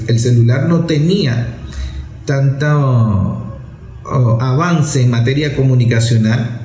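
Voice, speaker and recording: A man giving a reflective talk on society, measured and explanatory, repeating phrases for emphasis. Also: fairly high pitch, dark tone, roomy sound, rough recording. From Venezuela.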